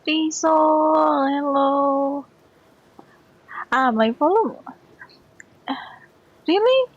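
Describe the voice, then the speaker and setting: A woman from Indonesia, streaming a game and chatting with viewers, speaking slowly and haltingly with a strained, hurt voice. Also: high voice, expressive, no echo, lo-fi sound. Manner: slow, halting, repeats words. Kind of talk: casual gameplay chat with viewers.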